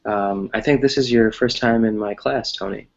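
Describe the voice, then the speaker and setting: A man's voice: on the low side, normal speed, creaky. American man teaching, slightly muffled sound. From United States. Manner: encouraging, often checks with "right?". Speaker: English teacher. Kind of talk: online grammar lesson, conversational explaining. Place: online video class.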